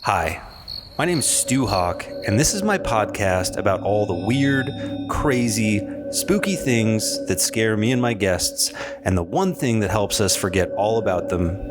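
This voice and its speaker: A man's voice: on the low side, lively intonation, unhurried, over loud music. A young man hosting a podcast in casual chat, joking and laughing with his guest. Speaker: podcast host.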